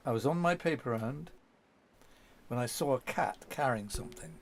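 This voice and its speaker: A man's voice: mid-range voice, lively intonation, moderate pace, a little hiss or hum. An older man reminiscing in an interview, telling rambling, anecdotal stories from his past. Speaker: documentary subject.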